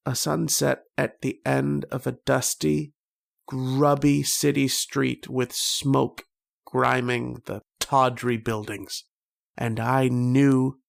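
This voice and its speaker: An actor playing a tormented, homesick man trapped in a dream, brooding and halting in an old-time radio horror drama. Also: medium pitch, vocal fry. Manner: brooding, halting, poetic delivery.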